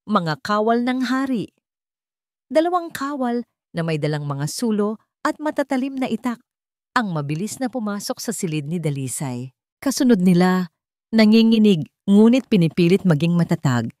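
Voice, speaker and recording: A woman with a fairly low voice narrates a dramatic story. She sounds solemn and suspenseful, phrasing each line deliberately. The recording is dry and close. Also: mellow, no echo.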